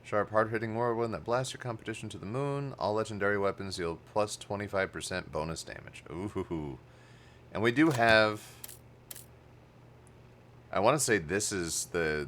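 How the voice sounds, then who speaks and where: A man's voice: fairly low pitch, expressive, moderate pace, a little hiss or hum. A man from Texas with a Canadian-sounding accent, chatting casually while he plays a game and reads its text aloud. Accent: Canadian-sounding North American. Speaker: streamer. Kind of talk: casual gameplay commentary. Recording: loud game music behind the voice.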